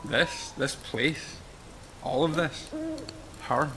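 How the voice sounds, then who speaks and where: A man playing a solemn, mournful figure in a radio play, speaking gravely and poetically with a gravelly, creaky voice. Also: fairly high pitch, extremely slow, sharp tone, a little hiss or hum, heavily acted, low-quality recording. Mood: grave, mystical. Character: solemn, mournful man recalling a dying ancient land.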